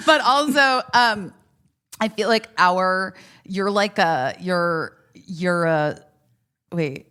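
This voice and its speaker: A woman's voice: lowish voice, clean tone. A woman in her forties co-hosting a podcast, chatting casually, laughing often and cutting herself off mid-thought. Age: 40s. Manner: animated, laughing, quick self-interrupting. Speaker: podcast co-host. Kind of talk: casual chat.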